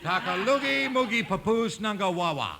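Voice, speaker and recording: Comic gibberish-spouting chief, high voice, 1950s radio comedy, very noisy recording. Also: male voice, animated, moderate pace, creaky. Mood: chattering. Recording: very noisy old radio broadcast.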